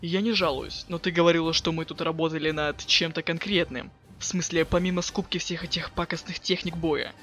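A man voicing a cool, sarcastic teenage boy with offhand deadpan delivery in a webcomic dub. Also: a little high, brisk, hardly any pauses, faint music behind. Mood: sardonic. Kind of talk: fan dub of a webcomic chat.

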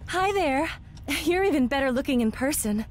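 Flirty, very high-voiced fangirl. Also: animated, normal speed, a little hiss or hum. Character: flirty adoring female fan. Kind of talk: video game voice acting.

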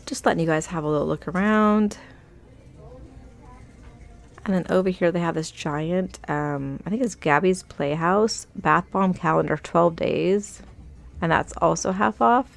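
Woman, low gravelly voice, casual shopping vlog chatter, upbeat, phone-recorded in a store aisle. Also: unhurried. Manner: chatty, upbeat, reads out prices. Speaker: shopping vlogger. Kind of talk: casual shop-with-me narration. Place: inside a large retail store.

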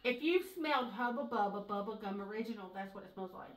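A woman's voice: mid-range voice, quick pace. A woman in her forties with a Southern US accent, chatting casually as co-host of a beer review. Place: small indoor room, seated at a table. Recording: roomy echo, distant mic.

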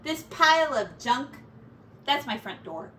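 Woman as chatty hapless male pack rat, folksy, exclamatory, high and swooping, picture-book character, recorded at a distance. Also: big pitch swings, unhurried, sharp tone, exaggerated voice. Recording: roomy, distant mic, faint noise.

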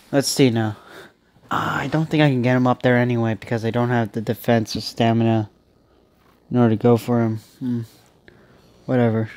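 A man's voice: mid-range voice, darkish tone, dry recording. Young man with a stuffy nose rambling over gameplay. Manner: rambling, thinking aloud with many restarts. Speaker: gaming channel host. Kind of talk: casual gameplay commentary.

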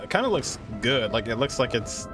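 A man's voice: mid-range voice, sing-song, fairly quick. A young man in his twenties casually commenting as he reacts to a game, informal and emotionally invested. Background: game music.

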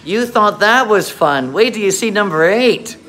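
Slow-paced middle-aged male children's teacher. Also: high voice, animated, bright, forceful tone, faint music behind. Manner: encouraging, slow deliberate enunciation for children. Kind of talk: talking young students through worksheets on camera. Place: indoor classroom. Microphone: phone or camera at arm's length.

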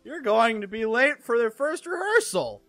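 Brief: man doing a hammy, high-voiced teen boy bossing his friend awake, bright and animated. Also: normal speed, no echo, soft background music, heavily acted. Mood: mock scolding. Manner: loud, mock-stern scolding. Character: bossy teenage best friend Lucas, teasing and theatrical. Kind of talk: fan voicing of a visual novel.

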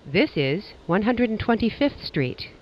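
A man's voice: high voice, big pitch swings, unhurried, dull audio. A man reading scripted transit announcements as a train announcer, in formal, segmented phrasing.

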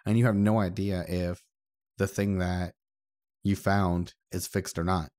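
A man's voice: sits low, level tone, measured pace, darkish tone. A man co-hosting a podcast, discussing tech casually in a conversational, explanatory way. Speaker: podcast co-host.

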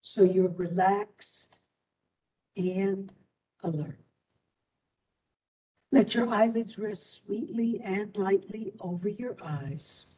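A woman teacher leading a guided meditation in a soothing, deliberate way, leaving long pauses. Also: medium pitch, mellow. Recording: distant microphone.

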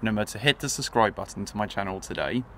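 A man's voice: on the low side, expressive, fast, pushed and bright. Young man, chatty vlogger, phone held at arm's length, outdoor station platform, faint traffic noise behind. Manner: chatty, eager, addresses viewers directly. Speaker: trainspotting vlogger. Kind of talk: casual vlog commentary. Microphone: phone camera held at arm's length. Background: faint outdoor traffic and station ambience.

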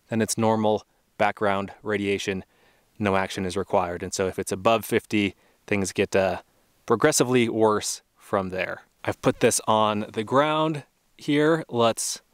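A man's voice: on the low side, measured pace, smooth, no echo, clean studio sound. A young adult man narrating a casual outdoor travel vlog, easygoing and thinking aloud, with small laughs as he goes. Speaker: travel vlogger. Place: outdoors at a remote test site.

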